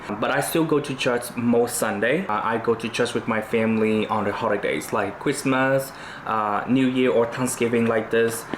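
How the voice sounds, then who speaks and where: A young man from Vietnam, speaking English with a Vietnamese accent, chatting casually on his vlog with lots of "you know" fillers. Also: mid-range voice. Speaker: YouTuber. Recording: echoey room with faint noise.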